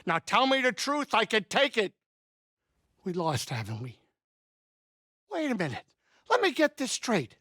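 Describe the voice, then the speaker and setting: A man playing a middle-aged alien pilot and saloon owner in a video game, anxious but kindhearted, with expressive, folksy, wry grumbling. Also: high voice, a little slow, pauses often, no echo, clean studio sound, full character voice. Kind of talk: video game character acting.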